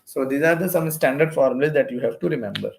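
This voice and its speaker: A man's voice: medium pitch, lively intonation, fast, gravelly, a little hiss or hum. A man with an Indian accent giving an explanatory exam-prep lecture as a physics teacher, going step by step and repeating phrases.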